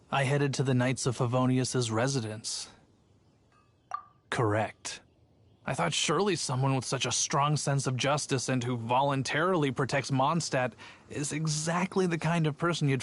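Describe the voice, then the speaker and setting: An actor playing a chatty, somewhat cowardly young city guard in a video game. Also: male voice, medium pitch, moderate pace, lightly acted. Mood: storytelling. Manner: chatty and boastful, a bit sheepish.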